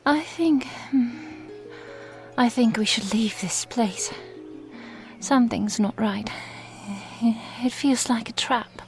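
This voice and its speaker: Woman playing a high, breathy, nervous young woman. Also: takes it slowly, long pauses, darkish tone, faint music behind. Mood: uneasy. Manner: hesitant, anxious questioning. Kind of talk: audio drama character acting.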